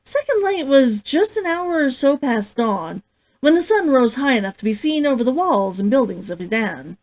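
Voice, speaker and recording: A woman narrating a novel as its author, in an expressive audiobook-style read-aloud. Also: sits high, normal speed.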